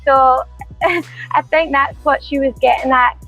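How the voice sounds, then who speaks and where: A woman with a soft, quiet, sweet-sounding voice, giving a casual interview answer. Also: high-pitched, fairly even intonation, a little slow, faint music behind, low-quality recording. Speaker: professional fighter.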